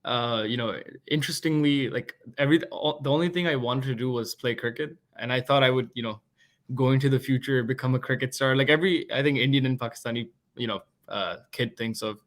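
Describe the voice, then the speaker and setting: A man's voice: mid-range voice, fairly quick. Brief: young man in his twenties, guest on a podcast, laid-back and chatty, mid-range voice. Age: 20s. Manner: relaxed, chatty storytelling. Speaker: guest, product manager. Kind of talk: casual interview talk.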